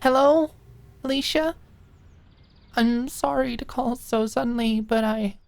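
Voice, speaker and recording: Woman acting a high, trembling, tearful young woman. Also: creaky, a little mellow, no echo. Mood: tearful, apologetic. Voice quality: shaky, trembling voice. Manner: halting, apologetic, pleading. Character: tearful, hurt young woman calling her best friend. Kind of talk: audiobook drama dialogue.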